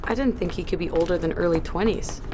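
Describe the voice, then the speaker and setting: A woman's voice: fairly low pitch, fast, noisy background, lightly acted. A woman playing a guarded young crewwoman. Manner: guarded, wry, swearing. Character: wary, newly woken young crewwoman. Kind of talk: audio drama acting.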